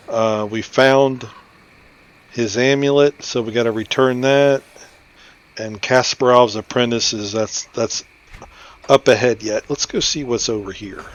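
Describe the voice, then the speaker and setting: A man's voice: medium pitch, fairly even intonation, a little slow, dull audio. A man giving casual commentary as he plays through a game. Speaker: gaming video host.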